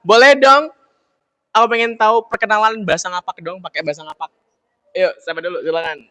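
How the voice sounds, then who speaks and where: Bright, high-voiced young male host. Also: steady tone, fairly quick, dry recording. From Solo, Central Java. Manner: upbeat, repeats "oke, siap". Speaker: interviewer host. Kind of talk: casual interview chat.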